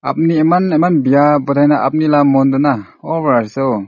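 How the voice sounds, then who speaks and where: A man's voice: fairly high pitch, fairly even intonation, fairly quick, gravelly, no room sound. A man on a casual, confrontational phone call, scolding and accusing insistently. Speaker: prank caller.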